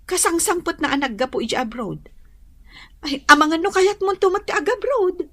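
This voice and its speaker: A woman's voice: extremely high pitch, normal speed, heavily acted. An actress playing a supportive, chatty young woman friend in a radio drama, with an Ilocano accent. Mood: chatty, eager. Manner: animated, chatty, encouraging.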